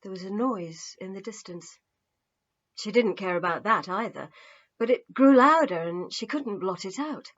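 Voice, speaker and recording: A woman reading her novel aloud as an expressive, measured audiobook narrator. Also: mid-range voice, highs cut off. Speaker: author reading own novel.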